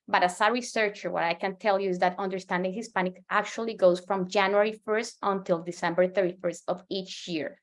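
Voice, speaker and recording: A woman in her forties from Colombia with a Colombian Spanish accent, a native Spanish speaker giving an earnest presentation as a market researcher, often restarting and repeating words. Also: mid-range voice. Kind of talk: presentation to a professional audience.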